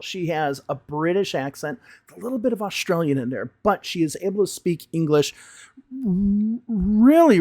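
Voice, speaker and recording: A man, an English teacher, giving emphatic, animated commentary on a video he is reacting to. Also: fairly high pitch, dry recording, clean studio sound.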